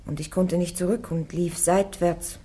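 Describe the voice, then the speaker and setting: An actress playing a devout young woman warrior on trial, answering her judges firmly and calmly in a radio drama. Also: on the low side, unhurried, slightly rough. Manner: firm, calm, defiant answers.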